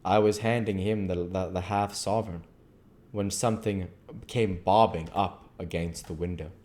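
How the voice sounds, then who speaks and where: A man reading a classic novel aloud as an amateur narrator, measured, with the odd stumble. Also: deep voice, fairly even intonation, darkish tone. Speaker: amateur book reader.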